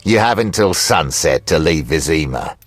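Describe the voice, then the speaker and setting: A man's voice: extremely low pitch, lively intonation, moderate pace, husky, faint music behind, heavily acted. An actor playing a menacing male crime boss in a video game, taunting and mock-polite. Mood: sneering, defiant.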